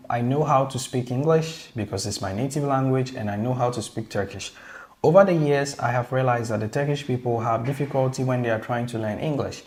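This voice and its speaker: Male host, casual tutorial delivery, eager and conversational, mid pitch. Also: a little mellow. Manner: eager, chatty, addresses the audience directly.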